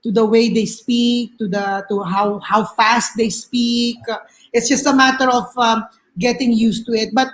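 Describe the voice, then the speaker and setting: A woman's voice: fairly high pitch, level tone, unhurried. A woman with a Filipino accent speaking as a panel guest, hesitant with many fillers and repeated words. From Philippines. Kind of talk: casual panel discussion.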